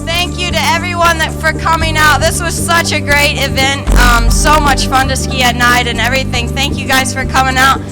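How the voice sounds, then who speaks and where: A woman athlete giving a bubbly, grateful acceptance speech, her voice high and level. Loud music plays behind her. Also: little pitch movement, normal speed, hardly any pauses. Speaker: winning athlete.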